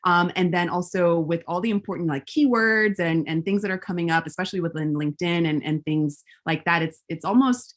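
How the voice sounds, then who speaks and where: An American woman hosts a relaxed interview and keeps affirming her guest. Her speech is loose, with a lot of "you know" and "um" fillers. Her voice is on the low side. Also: a little fast, hardly any pauses. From raised between California and Georgia. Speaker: host. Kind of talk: casual interview chat.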